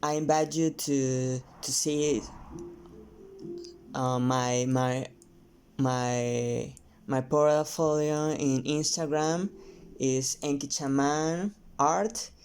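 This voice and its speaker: A painter from Mexico with a Mexican Spanish accent, his first language Spanish, talking casually and haltingly to camera with many restarts. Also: on the high side, steady tone, a little mellow, clean tone, soft background music. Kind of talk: casual vlog talk while painting.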